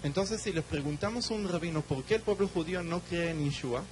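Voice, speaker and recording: Guest preacher, Israeli man, Hebrew, short phrases left for the interpreter, slightly high voice, faint hum. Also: fairly quick, a little mellow, poor audio. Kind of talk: sermon with live interpretation.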